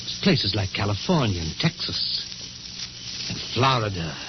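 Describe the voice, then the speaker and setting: An actor playing a menacing, obsessed middle-aged husband in a slow, deliberate, ominous way, in old-time radio suspense style. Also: male voice, fairly low pitch, big pitch swings. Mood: brooding, ominous. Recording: noisy, hissy, muffled old radio recording.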